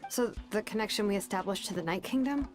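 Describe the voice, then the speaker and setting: Woman voicing calm game companion, young woman, medium pitch, concerned, soft music behind. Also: fast, close to an everyday voice. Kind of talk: video game voice acting.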